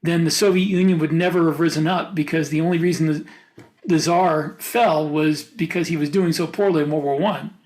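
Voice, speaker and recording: High-pitched American man talking casually. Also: steady tone, a little fast, a little mellow, low-quality recording. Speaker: podcast co-host. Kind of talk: casual panel discussion.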